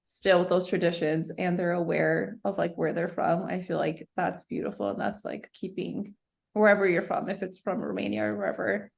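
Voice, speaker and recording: A woman's voice: fairly low pitch, steady tone, moderate pace, creaky. A woman co-hosting a podcast in casual interview style, affirming and chatty with her guest. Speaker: podcast co-host.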